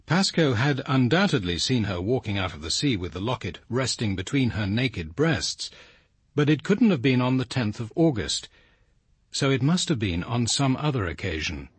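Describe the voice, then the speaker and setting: A stern, reserved British detective in a radio mystery. His voice is husky with a widely moving pitch, and his delivery is dry, measured and clipped. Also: very animated, no echo. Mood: reflective. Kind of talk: radio drama acting and first-person narration.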